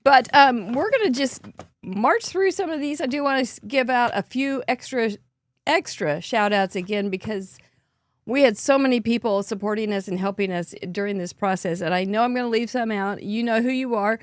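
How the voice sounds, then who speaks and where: A middle-aged woman host with a hoarse voice, chatting in an upbeat way and laughing often as she shows off gifts. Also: a little high, moderate pace, no echo. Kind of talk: casual show-and-tell recap.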